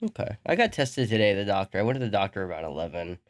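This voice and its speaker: A man's voice: lowish voice, big pitch swings, rapid-fire. Congested, nasal man co-hosting a podcast. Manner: joking, laughs at his own puns. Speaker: podcast co-host. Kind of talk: casual podcast chat.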